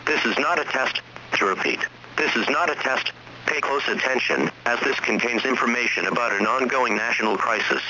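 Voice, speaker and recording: Male announcer, scripted emergency alert, formal and impersonal delivery, mid pitch, clear noise over a radio-style broadcast. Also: moderate pace.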